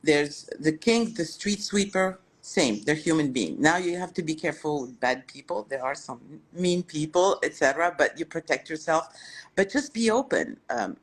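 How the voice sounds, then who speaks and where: A woman's voice: low-pitched, expressive, moderate pace, breathy edge. An older woman from Lebanon whose first language is French, a restaurateur answering interview questions in a reflective way, with frequent fillers and restarts. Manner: warm-hearted, reflective, with many fillers and restarts. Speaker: guest, restaurateur.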